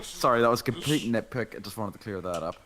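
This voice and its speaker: A man from outside the United States speaking casually in a group discussion, tentative and quick to correct himself. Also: fairly low pitch, expressive, crowd noise.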